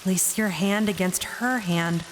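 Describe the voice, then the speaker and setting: A woman's voice: medium pitch, a little slow, a little hiss or hum, lightly acted. A woman playing an earnest, curious and bold adventurer in an audio drama. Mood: solemn, explaining. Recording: long hall reverb under music.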